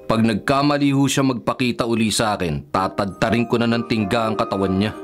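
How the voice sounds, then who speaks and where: A man's voice: lowish voice, brisk, lightly acted. An actor playing a fearless, boastful policeman in a Filipino radio drama, a defiant man. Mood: fearless resolve. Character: brash, fearless, defiant male police officer. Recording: dramatic music under the voice.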